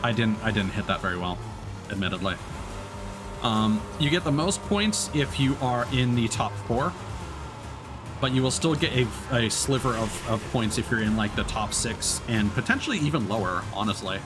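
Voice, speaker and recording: A man giving casual, halting gameplay commentary as a live streamer, explaining as he plays. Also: medium pitch, expressive. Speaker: live streamer playing a racing game. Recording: game music behind the voice.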